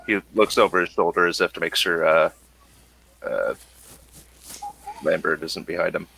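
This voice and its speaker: A man with a gravelly voice narrating casually as the game master of a tabletop game. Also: low-pitched, fairly even intonation, moderate pace, pushed and bright, rough recording.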